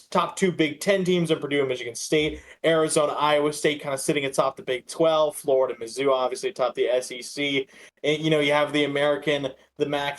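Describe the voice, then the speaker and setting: Male podcast co-host, slightly high voice, casual sports commentary, halting delivery with repeated words.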